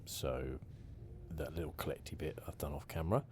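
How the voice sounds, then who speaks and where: A soft-spoken man with an extremely deep, relaxed voice hosts a gaming playthrough. He chats casually and a little hesitantly in ASMR style. Reverb and a faint hiss sit around him. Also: brisk. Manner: casual, hesitant, chatty asides. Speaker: ASMR gaming channel host.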